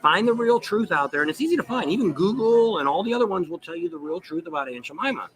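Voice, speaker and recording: A man's voice: high-pitched, animated, fast talker, poor audio. A man hosting a live show, rambling in casual, opinionated chat and repeating himself as he goes. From United States, near Saint Louis. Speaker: show host.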